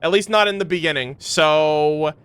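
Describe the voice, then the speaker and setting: A man's voice: fairly high pitch, normal speed, a bit bright, clear, resonant tone. A man streamer giving casual, effusive reaction commentary in slangy language. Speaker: streamer reacting to game voice lines.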